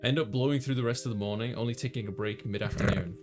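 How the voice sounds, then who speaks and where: Male streamer, medium pitch, casual read-aloud narration of a game, music clearly playing behind. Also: steady tone, very fast. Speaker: gaming streamer narrating visual novel.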